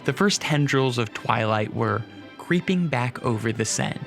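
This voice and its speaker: Mid-pitched male radio drama narrator. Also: lively intonation, unhurried, gravelly, music in the background.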